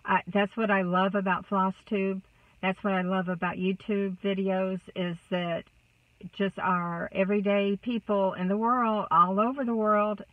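A woman's voice: medium pitch, level tone, measured pace, no room sound, recorded from a distance. Texan woman, about 60, rambling car vlog chat, thin phone-like dry sound. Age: 60s. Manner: chatty, warm, rambling with many fillers. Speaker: vlogger. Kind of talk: casual chat to camera. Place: inside a parked car. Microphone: phone camera close up.

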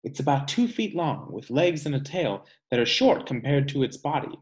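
A man in his thirties reading a children's nonfiction picture book aloud in a deliberate way, pausing for musing asides. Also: mid-range voice, big pitch swings. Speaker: read-aloud reader. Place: small indoor room at home.

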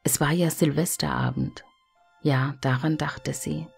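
Female storyteller, low and husky, gentle expressive fairy-tale reading. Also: measured pace, soft background music.

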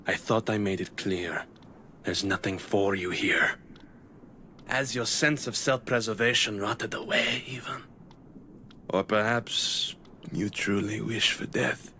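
An actor playing a weary, chivalrous sea-creature warrior in a video game, with a theatrical, formal way of speaking. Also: male voice, fairly low pitch, takes it slowly, pushed and bright, slight background noise. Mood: menacing, disdainful. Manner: theatrical, archaic, formal phrasing. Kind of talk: video game character acting.

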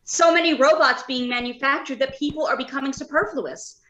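Earnest, troubled doctor, played by a woman: high, sharp, deliberate radio-drama delivery. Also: dull audio. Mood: resigned, grave. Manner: emphatic, deliberate, with dramatic hesitations. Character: earnest, uneasy robot scientist, a doctor. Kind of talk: old-time radio drama acting. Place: home, remote video call.

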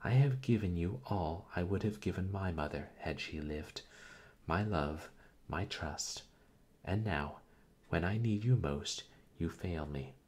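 A man's voice: sits low, expressive, unhurried, darkish tone. A man reading an audiobook, voicing a passionate young woman who pleads and then breaks into sobs. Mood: indignant pleading.

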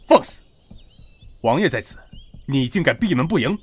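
An actor playing a stern, loyal retainer of a prince in an audio drama, with a husky voice. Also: male voice, medium pitch, big pitch swings, moderate pace. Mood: urgent. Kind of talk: Chinese historical audio drama acting. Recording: background music under the voice.

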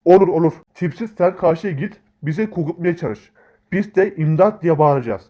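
A bossy cartoon schemer, acted by a man, pitched on the high side. He is the excitable big brother of the group, scolding everyone in brash slang. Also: normal speed, lo-fi sound. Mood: cocky, scheming. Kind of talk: comic cartoon dialogue.

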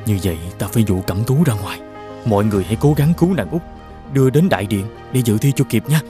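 A man's voice: fairly low pitch, lively intonation, quick pace, dark tone, soft background music, natural voice. A man voicing a noble, earnest young prince in a fairy-tale cartoon. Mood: urgent.

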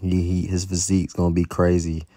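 A man's voice: low voice, completely flat delivery, brisk, dark tone, no room sound, studio quality. A young American man giving a casual motivational fitness voice-over in a slangy, encouraging way. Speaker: fitness vlogger.

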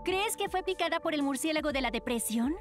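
A dreamy, theatrical teenage cartoon girl, speaking very high in a Latin American accent. Faint music plays under her dramatic delivery. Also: lively intonation, fairly quick. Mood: playful, dreamy. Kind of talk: dubbed children's cartoon acting. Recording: light music behind the voice.

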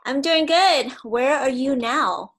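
A woman's voice: sits high, takes it slowly, fairly bright tone. A woman hosting a podcast, interviewing a guest in a conversational style. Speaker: podcast host.

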